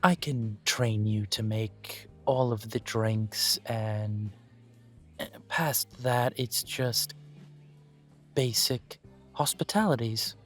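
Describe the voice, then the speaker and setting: A man voicing a courteous elven coffee shop owner who speaks in a polite, formal, gently self-deprecating way, with soft music playing behind him. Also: fairly low pitch, takes it slowly. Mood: gracious. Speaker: shop owner interviewing a job applicant. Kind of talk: scripted one-sided roleplay audio.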